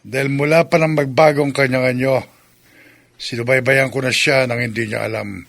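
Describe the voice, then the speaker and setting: An actor playing an obsessive, scheming male aswang in love, gravelly and melodramatic, in a horror radio drama. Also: medium pitch, fairly even intonation, moderate pace, a bit bright. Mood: smug, possessive. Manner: confident, menacing, melodramatic.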